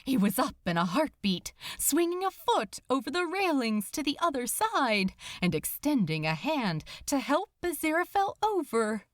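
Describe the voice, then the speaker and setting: A woman reading a story aloud as dramatic narration, theatrical and suspenseful with deliberate emphasis. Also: sits high, big pitch swings, dry recording. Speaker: podfic narrator.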